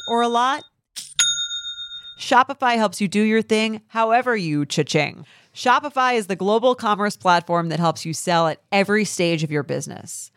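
A woman's voice: on the low side, a bit bright, dry recording, clean studio sound. A woman co-hosting a podcast, talking in a casual, conversational way as in chat or a host-read spot.

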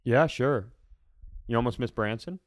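A man's voice: mid-range voice, a little slow. American male co-host, medium register, tossing in dry teasing remarks in casual chat. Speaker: podcast co-host. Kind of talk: casual banter.

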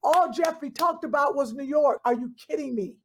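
A woman's voice: high voice, normal speed, slight background noise. A woman chatting casually in a group, exclaiming and joining in.

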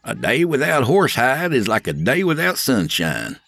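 Man doing a nervous guy playing it cool, pitched up some, careful. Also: wildly swooping pitch, normal speed, creaky, fairly bright tone, breathy edge, dry recording, heavily acted. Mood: agreeable. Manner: raised, cautious and tentative. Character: anxious man trying to stay calm.

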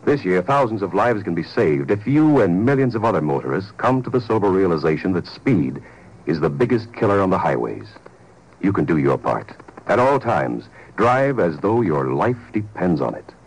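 A man announcing a scripted 1950s radio public service message in a grave, emphatic, deliberate way. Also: low-pitched, big pitch swings, husky, rough recording. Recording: old 1950s radio recording.

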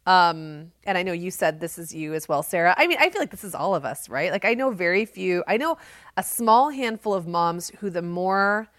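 A woman's voice: on the low side, lively intonation, normal speed, a bit bright, dry recording, clean studio sound. A middle-aged woman co-hosting a podcast, telling stories in a casual, candid, self-deprecating way with a big, projecting voice. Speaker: podcast co-host. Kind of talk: casual conversational podcast talk.

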